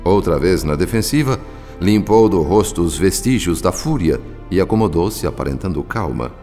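A man's voice: low-pitched, lively intonation, normal speed. A man with a Brazilian accent playing a solemn spirit who narrates a radio drama in a theatrical, literary manner. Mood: solemn, dramatic. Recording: long reverb over soft music. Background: soft music.

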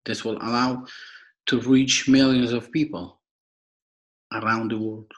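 A young man from Afghanistan with an Afghan accent, giving a prepared podcast introduction in a formal, halting way, often repeating and rephrasing. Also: medium pitch, level tone, muffled recording. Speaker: podcast host and foundation worker.

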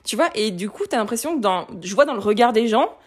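A woman in her late twenties talking candidly in a casual conversation, lively, with many fillers and restarts. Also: fairly high pitch, fast talker, husky. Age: late 20s.

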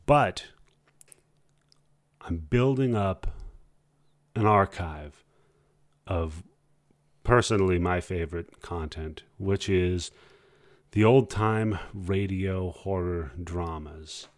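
A man hosting a podcast, talking informally and haltingly in a casual solo style. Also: deep voice, no echo, studio quality. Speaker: podcast host.

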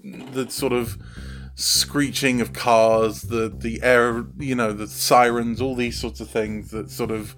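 A man chatting casually as a podcast guest, animated and halting, often repeating himself as he explains his writing. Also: medium pitch, slight background noise. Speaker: podcast guest, audio fiction writer. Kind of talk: casual interview chat.

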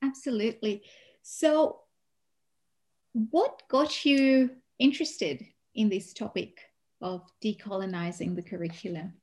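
A woman's voice: mid-range voice, measured pace, a little mellow. A woman interviewing a guest, listening attentively and asking short questions. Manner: attentive, brief affirmations.

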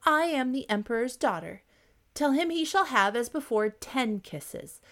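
A woman's voice: sits high, lively intonation, unhurried, a bit bright, voice pushed up, heavily acted. A woman voicing a haughty, spoiled young princess in a storybook reading, imperious and fussy. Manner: imperious, wailing when upset.

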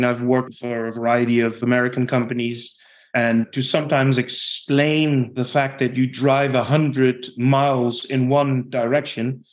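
A man from the Netherlands with a Dutch accent, a podcast guest giving long, reflective answers about his sales career. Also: medium pitch. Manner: reflective, talks in long explanatory runs. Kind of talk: podcast interview.

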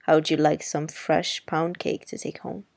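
A sharp-tongued middle-aged neighbor, read by a woman narrator, speaking with a gravelly, husky edge. Her talk is dry, grim and very slow, and the storybook reading sounds close and dry. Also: deep voice, little pitch movement, dry recording, full character voice. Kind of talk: audiobook character reading.

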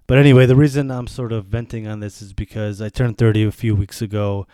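A man's voice: fairly low pitch, little pitch movement, quick pace, warm tone, smooth, dry recording. A man of thirty hosting a solo podcast, venting candidly in a sardonic, freely swearing way. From born in Germany to Bosnian refugees, raised in the US. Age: 30s. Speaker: podcast host.

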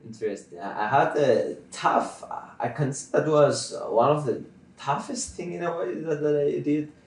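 A man from Greece whose first language is Greek, hosting an interview with halting, restarting non-native phrasing. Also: a little high, far from the mic. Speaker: podcast host. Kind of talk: casual interview questions. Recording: roomy sound from off-mic.

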